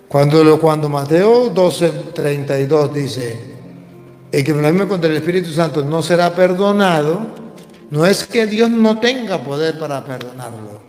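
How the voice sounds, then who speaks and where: Slow, echoey Venezuelan priest preaching. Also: male voice, fairly high pitch, darkish tone. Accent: Venezuelan Spanish. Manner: didactic, emphatic, repeats phrases for stress. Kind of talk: religious teaching, question and answer talk. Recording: echoey hall with long reverb.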